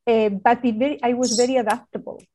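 A woman's voice: a little high, steady tone, fast talker, dark tone. A woman from Spain with a Spanish accent, a guest on an interview, searching for words and laughing often as she talks. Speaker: architect, guest. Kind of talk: interview conversation.

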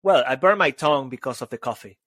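A man's voice: medium pitch, animated, fast talker, fairly bright tone, dry recording, studio quality. A man from Venezuela, a public speaking coach, talking in an emphatic, motivational way on a casual podcast.

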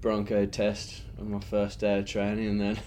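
Low-voiced young Australian man, casual. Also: monotone, normal speed, a little mellow, a little hiss or hum. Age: young adult. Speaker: interview guest, professional rugby player. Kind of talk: casual chat.

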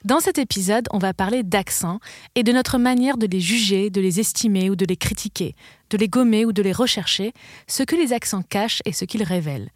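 A woman hosting a podcast, giving clear, measured scripted narration and interviewing guests. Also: medium pitch. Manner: clear, measured, articulate delivery. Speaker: podcast host.

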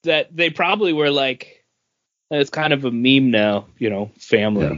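A man's voice: mid-range voice, animated, normal speed, a bit bright, dry recording, dull audio. A man co-hosting a podcast with casual, joking chat. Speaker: podcast co-host.

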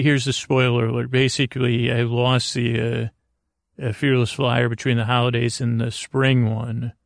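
Creaky-voiced man rambling haltingly. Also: medium pitch, dry recording, studio quality. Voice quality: creaky, gravelly. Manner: halting, stammering, digressive. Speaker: podcast host. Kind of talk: rambling, meandering sleep podcast monologue. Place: closet, close dry recording.

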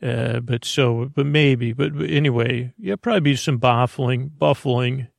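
Creaky-voiced man rambling soothingly. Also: medium pitch, no echo, studio quality. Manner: soothing, meandering, halting with frequent stammers and self-interruptions. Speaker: podcast host. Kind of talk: rambling bedtime sleep podcast monologue.

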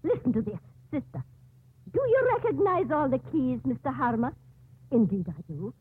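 An actress playing a kindly, fussy, chattering nun in a 1950s radio drama, with a homely, fussing way of talking. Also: female voice, sits high, sing-song, moderate pace, slight background noise. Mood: chatty, insistent. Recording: muffled, phone-like 1950s radio recording.

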